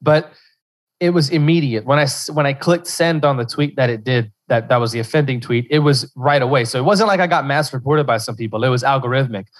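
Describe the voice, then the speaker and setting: A man's voice: medium pitch, fairly quick. A young man from Louisiana, a podcast guest who talks in loose rambling bursts full of restarts and fillers. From South Central Louisiana. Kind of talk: casual interview chat.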